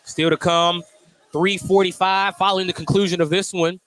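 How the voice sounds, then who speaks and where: A man's voice: high voice, little pitch movement, normal speed, a bit bright, soft background music, rough recording. A man doing live play-by-play basketball commentary for radio, conversational and given to joking asides between calls. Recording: clear background noise, live gym broadcast.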